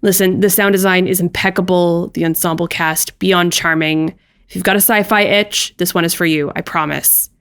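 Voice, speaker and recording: A woman's voice: on the low side, steady tone, moderate pace, a bit bright, clear, resonant tone. A woman presenting a podcast, reading a scripted recommendation to listeners.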